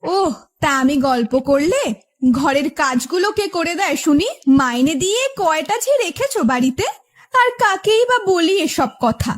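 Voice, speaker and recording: A nagging cartoon wife, voiced by a woman, with an extremely high voice that swings widely in pitch. She is melodramatic, complaining and quick to taunt. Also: expressive. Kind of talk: Bengali cartoon voice acting.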